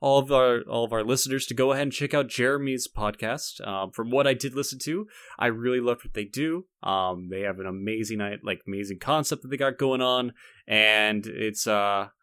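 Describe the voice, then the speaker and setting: A man's voice: mid-range voice, normal speed, a bit bright, clean tone, no echo, studio quality. A man hosting a podcast in casual chat, talking in a rambling, hesitant way. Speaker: podcast host.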